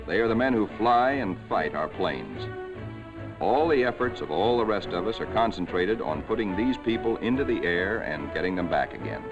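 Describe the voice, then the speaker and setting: A man giving scripted narration for a 1940s wartime documentary, in a measured, solemn way, on an old film soundtrack. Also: fairly low pitch, very animated, dull audio, over music.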